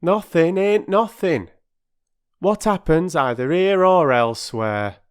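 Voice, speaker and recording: A man's voice: on the high side, slow pace, smooth, voice pushed up. Man doing a huffy, lofty old railway porter. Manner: lofty, sulky, clipped dialect speech. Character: huffy working-class male railway porter.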